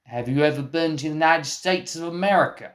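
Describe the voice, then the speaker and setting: A man's voice: a little high, brisk, a bit bright, close to an everyday voice. A man playing an eccentric, evasive film collaborator in a comic radio play, insistent and theatrically cryptic. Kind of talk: scripted comic mock interview.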